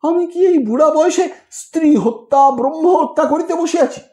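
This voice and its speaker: A man voicing a shrewd, self-righteous old gentleman who talks in a measured, persuasive way in an audio story. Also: very high voice, pitched above the performer's own voice. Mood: persuasive, exasperated. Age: older.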